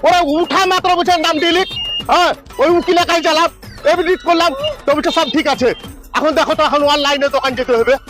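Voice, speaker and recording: A man's voice: very high voice, fairly even intonation, quick pace. A man playing a harried, grumbling villager in a comic skit, speaking with a rural West Bengal accent. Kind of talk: comic social skit acting. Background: faint music.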